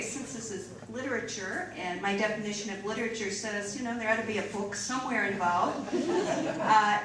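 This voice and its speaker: A woman giving an informal introduction to a live audience as an event presenter. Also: mid-range voice. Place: large echoey room, live event. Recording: echoey room, distant mic, hiss.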